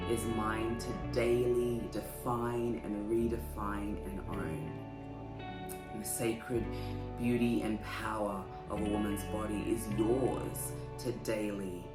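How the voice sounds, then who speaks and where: Slow, very deep-voiced woman narrator. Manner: measured, solemn and deliberate. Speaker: host. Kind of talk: scripted poetic narration. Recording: roomy echo with loud music underneath.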